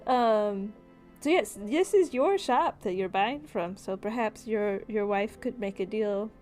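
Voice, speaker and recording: A woman's voice: mid-range voice, expressive, unhurried, a little mellow. A woman talking casually as game master in a tabletop roleplaying session, with music behind her.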